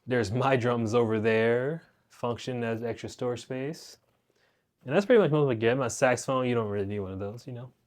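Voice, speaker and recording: A man's voice: mid-range voice, a little fast, darkish tone. Young guy chilling in his music room, clip mic, rambly slangy gear talk. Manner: laid-back, rambling, slangy. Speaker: guitar teacher and channel host. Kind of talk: casual gear rundown. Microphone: wireless clip-on mic into phone.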